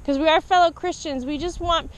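A woman activist making polite, persuasive appeals to passersby in an earnest outreach pitch. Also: steady tone, a little fast. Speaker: activist street evangelist. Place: outdoors beside a church parking lot roadway. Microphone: body-worn action camera on the speaker. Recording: noisy outdoor body-camera audio. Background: passing vehicles, outdoor noise.

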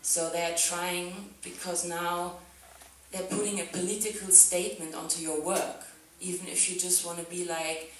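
Young German woman, a festival artist, speaking slightly low and unhurried into a handheld mic in a big echoing hall. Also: little pitch movement, slight background noise. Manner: thoughtful, many "like" and "um" fillers, laughs often. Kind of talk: artist's talk, casual reflection. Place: theatre stage on a sofa before an audience. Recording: very echoey hall, speaker far from the camera.

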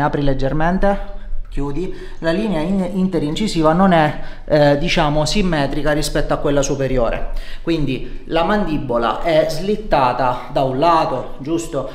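Echoey middle-aged man explaining posture. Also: on the high side. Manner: didactic, frequently checking understanding. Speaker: chiropractor. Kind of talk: explaining posture during a filmed treatment. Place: treatment room with wooden floor and furniture, indoors. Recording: echoey room sound.

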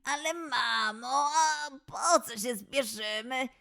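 A woman voicing a playful, dawdling little boy lion cub in a children's audio story, with a whiny, expressive manner. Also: sits high, slow, gravelly, full character voice.

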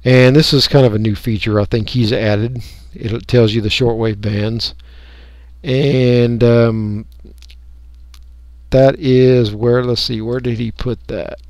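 A man's voice: mid-range voice, steady tone, takes it slowly, darkish tone, no room sound, clean studio sound. Folksy American man, casual tutorial. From United States. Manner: folksy, rambling, thinking aloud. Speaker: radio hobbyist giving a software tutorial. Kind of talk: casual unscripted screen-recording walkthrough.

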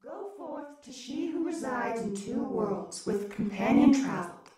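A woman's voice: sits high, very animated, a little slow, crowd noise. A woman playing a mystic oracle in an audio drama, solemnly reciting cryptic prophecy. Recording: distant, echoing, loud music. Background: loud music.

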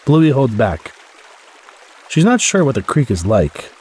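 A man reading a children's storybook aloud as the host, in expressive narration with a slightly husky voice. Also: mid-range voice, a little slow. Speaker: story podcast host and reader.

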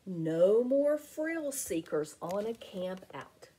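A middle-aged woman reads a picture book aloud for children at an unhurried pace. Her voice is soft-edged and mellow with a husky grain, and her pitch swings widely through the rhymes in an expressive, deliberate delivery. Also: slight background noise. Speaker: teacher reading aloud to children. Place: small home room with window blinds.

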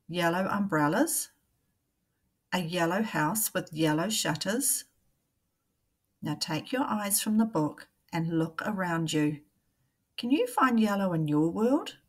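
A woman, a primary teacher reading a picture book aloud to young children in a deliberate, questioning way. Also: fairly low pitch, slightly rough.

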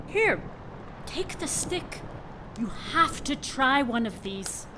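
An actress playing a bubbly, naive, friendly young camper in a radio drama, eager and chatty. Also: female voice, high voice, sing-song, takes it slowly, noisy background, heavily acted.

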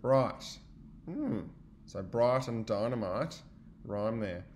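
Animated man reading a storybook, slow-paced. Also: medium pitch, slight background noise. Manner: animated, child-directed, with asides. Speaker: teacher reading aloud to young children. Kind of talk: expressive storybook read-aloud.

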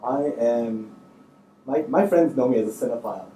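Mellow, muffled-toned man thinking aloud. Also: mid-range voice, normal speed. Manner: informal, hesitant, thinking aloud. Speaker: screenwriter on a panel. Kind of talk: casual spoken answers at a public talk. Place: indoor stage in an auditorium, seated. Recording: roomy, distant miking, faint noise.

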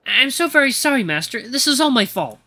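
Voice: high-pitched, expressive, normal speed, pushed and bright, exaggerated voice. A performer voicing a tiny, anxious male golden retriever butler in a story, stammering and apologetic. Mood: panicked, apologetic.

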